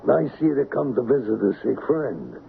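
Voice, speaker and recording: An actor playing a cocky, sardonic hired killer in a radio crime drama, with a gravelly, slangy, mocking drawl. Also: male voice, medium pitch, sing-song, normal speed. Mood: mocking, unfazed. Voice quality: gravelly, creaky. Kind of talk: old-time radio crime drama acting. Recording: narrow, noisy old radio broadcast.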